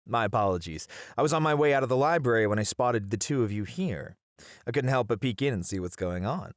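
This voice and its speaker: A man's voice: medium pitch, expressive, fairly quick, no echo, clean studio sound, close to an everyday voice. A man playing a playful, theatrical colleague at a college who loves teasing, with a dramatic, flowery manner in an ASMR roleplay. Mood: cheerful, curious.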